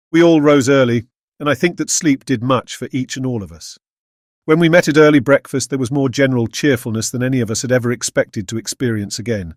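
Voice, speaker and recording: An actor playing a thoughtful Victorian gentleman doctor, narrating his diary in a measured, formal, reflective manner for an audiobook drama. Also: mid-range voice, dry recording, studio quality, natural voice.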